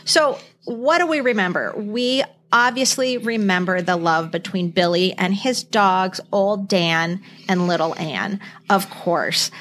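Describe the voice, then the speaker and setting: A middle-aged woman speaks as a podcast host in casual conversation. Her voice sits at an average pitch for a woman. She tells things emphatically and expressively, leaning on key words. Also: a bit bright. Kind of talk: casual chat.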